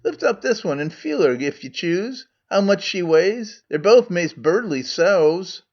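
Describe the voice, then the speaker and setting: A man's voice: high voice, very animated, a little slow, a little mellow, voice pushed up, heavily acted. A man voicing a crafty, poor male trader with a broad Scottish accent, coaxing and blustering. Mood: wheedling. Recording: muffled lo-fi recording.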